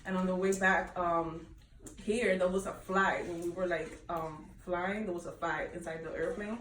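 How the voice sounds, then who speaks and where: A woman's voice: on the low side, steady tone, normal speed, a bit bright. Woman with a Dominican Spanish accent, rambling book-club chat, full of fillers, roomy echo, heard from afar. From Dominican Republic. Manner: rambling, many fillers, laughs often. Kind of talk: casual book discussion. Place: bookshop event room. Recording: echoey room, distant mic, background noise.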